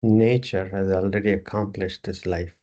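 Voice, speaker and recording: A man teaching yoga, slowly and calmly guiding a relaxation meditation in short, spare phrases. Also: low voice, muffled recording. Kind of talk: guided relaxation meditation instruction.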